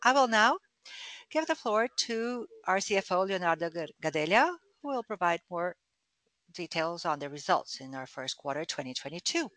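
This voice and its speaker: A man presenting quarterly results on an earnings call, speaking formally with frequent fillers and halting restarts. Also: high-pitched, very animated, pushed and bright, no room sound. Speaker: company executive on earnings call.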